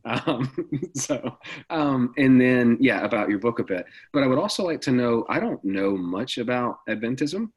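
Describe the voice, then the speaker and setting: A man hosting a podcast, his voice raspy and hoarse from recovering after the flu, chatting casually and joking as he asks questions. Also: mid-range voice, expressive, moderate pace. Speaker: podcast host. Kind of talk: casual interview chat.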